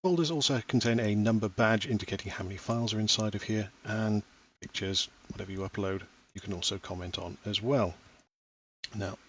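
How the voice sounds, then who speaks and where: A man in his thirties, a parent from Wales, walking through a school software platform step by step in a patient, explaining voice. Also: on the low side, brisk, lo-fi sound, slight background noise. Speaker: parent giving a tutorial. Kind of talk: screen-recorded software tutorial.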